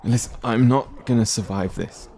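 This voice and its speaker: An actor playing a dying man in an audio drama, weak, halting and pleading as he struggles to speak. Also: mid-range voice, creaky, warm tone, natural voice. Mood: urgent, pained. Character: dying infected man, weak, imploring, protective.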